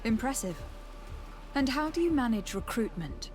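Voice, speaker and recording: A woman's voice: a little high, big pitch swings, normal speed. An actress playing a poised, diplomatic noblewoman in a video game, speaking in a polite, formal, inquisitive way.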